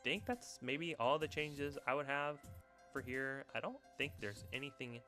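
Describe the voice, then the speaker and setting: A man's voice: mid-range voice, unhurried, pushed and bright, faint music behind. A man giving casual, unscripted review commentary, thinking aloud in a rambling, hesitant way. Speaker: YouTuber reviewing visual novels.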